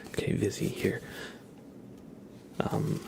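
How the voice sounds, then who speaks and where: A man hosting an ASMR livestream, speaking in soft whispers with a gentle, playful manner. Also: takes it slowly, heavy background noise. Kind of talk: ASMR, whispered roleplay and chat with viewers.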